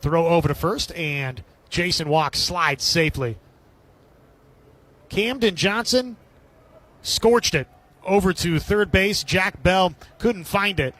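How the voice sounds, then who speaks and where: High-pitched male baseball play-by-play announcer. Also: animated, takes it slowly, long pauses, fairly bright tone. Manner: describes the action in short clipped phrases. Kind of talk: live sports commentary.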